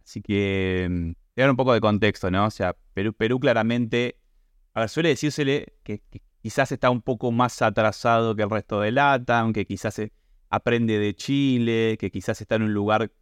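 Lower-pitched Argentine man hosting a podcast. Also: moderate pace, clean tone, no echo, studio quality. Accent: Argentine Spanish. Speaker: podcast host interviewer. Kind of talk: conversational podcast interview.

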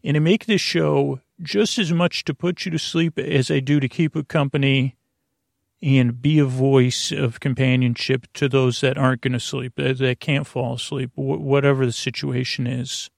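Male sleep-podcast host, mid-pitched with heavy vocal fry, halting and digressive, meandering delivery. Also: steady tone, no room sound, studio quality. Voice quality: gravelly, creaky voice. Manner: halting, stammering, trails off and loses his thread. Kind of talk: rambling, meandering monologue to lull listeners to sleep.

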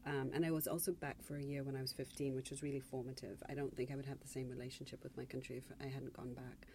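A woman from Zambia, a novelist speaking on a panel in a reflective, measured way, often restarting mid-phrase. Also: a bass voice, flat delivery, dark tone, a little hiss or hum. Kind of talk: panel discussion.

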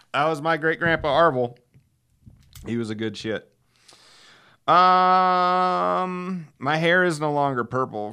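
A middle-aged man with a smooth, clear voice, recorded close and dry. He hosts a podcast as a loose, rambling storyteller. His manner is folksy and salty, with self-deprecating humor. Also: mid-range voice, bright, forceful tone, no room sound. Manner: chuckles while telling stories. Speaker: podcast host.

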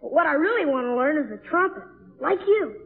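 Ten-year-old boy, eager and polite, high voice, vintage radio drama, narrow muffled sound. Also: big pitch swings, creaky, exaggerated voice. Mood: earnest. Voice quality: youthful, boyish. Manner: shy, polite, halting. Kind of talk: 1950s radio drama acting.

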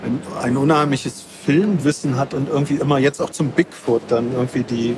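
A man speaks as an interviewed comic creator in a mid-range voice. His delivery is casual and explanatory, with colloquial phrasing, over clear urban background noise. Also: medium pitch, fairly even intonation, a little fast.

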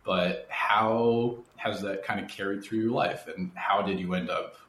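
A man interviews in a reflective, conversational manner. His voice sits low, with noticeable room echo and a slight background noise. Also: steady tone, measured pace, a bit bright. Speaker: podcast host, interviewer. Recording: roomy echo, faint noise.

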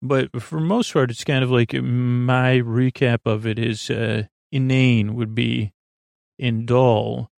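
Creaky, rambling sleep-podcast man. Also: medium pitch, steady tone, takes it slowly, darkish tone, dry recording. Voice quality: creaky, soothing. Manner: meandering, loses his thread, many fillers. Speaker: podcast host.

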